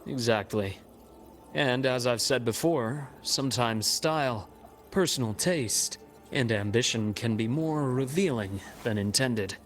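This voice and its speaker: A man voicing a composed, analytical investigator in a game, speaking in a measured, explanatory way. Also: medium pitch, soft background music, natural voice. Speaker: game character. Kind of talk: game cutscene voice acting.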